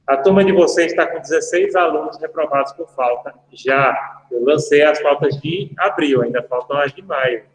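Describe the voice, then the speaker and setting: A male law professor talks casually to his class, drifting into jokey stories. His voice sits a little high. The sound rings with a long, roomy reverb. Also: moderate pace, slight background noise. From Brazil. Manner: chatty, anecdotal, jokey asides to students. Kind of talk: casual online lecture. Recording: echoey room with long reverb.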